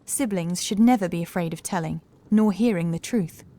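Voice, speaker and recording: A woman playing a young housekeeper in a horror audiobook, calm and assured, with measured, formal speech and a touch of sorrow. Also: fairly low pitch, close to an everyday voice. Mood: quiet, thoughtful. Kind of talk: horror audiobook character acting.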